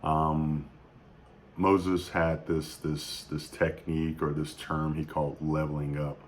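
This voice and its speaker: Very deep-voiced man in his thirties, halting. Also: flat delivery, slight background noise. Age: 30s. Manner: halting, many fillers and restarts; somber, reflective. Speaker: YouTube vlogger. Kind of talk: unscripted personal tribute.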